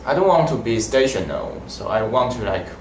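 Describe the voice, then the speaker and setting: A young man whose first language is Mandarin, answering interview questions in halting, simple phrasing. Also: mid-range voice, sing-song, a little hiss or hum. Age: young adult. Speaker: language student. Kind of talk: casual interview. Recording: roomy echo.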